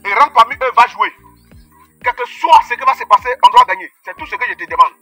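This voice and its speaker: A man with an Ivorian accent speaking outdoors at a village grave, addressing his ancestor in emphatic, chanting, repetitive calls, with a husky, strained voice. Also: extremely high pitch, lively intonation, quick pace, sharp tone, no room sound, faint music behind. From Ivory Coast. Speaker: self-described traditional practitioner.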